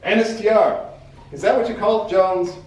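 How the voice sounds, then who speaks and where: A woman's voice: medium pitch, fairly even intonation, normal speed, darkish tone, distant mic, highs cut off, voice pushed up, natural voice. A man voicing a blunt, impatient female army major who speaks sharply, in a roomy hall away from the mic. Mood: scornful.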